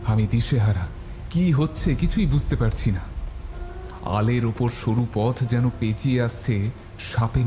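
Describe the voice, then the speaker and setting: A man narrating a scripted horror story in a dramatic style. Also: fairly low pitch. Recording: loud music bed behind. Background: music.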